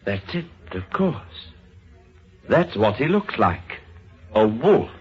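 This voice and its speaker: A man playing a thoughtful, uneasy writer in an old-time radio drama, speaking slowly and deliberately. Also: medium pitch, very animated. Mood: dawning horror. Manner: slow, deliberate. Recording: dull lo-fi old radio recording, music.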